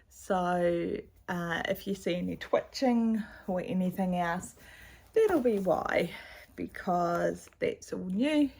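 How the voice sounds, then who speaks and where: A middle-aged woman with a slightly croaky voice, chatting casually at home about her cross-stitch projects with a rambling, chatty manner, recorded on a camera's built-in mic. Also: fairly low pitch. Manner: chatty, rambling, with fillers and asides. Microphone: camera's built-in mic, no external microphone.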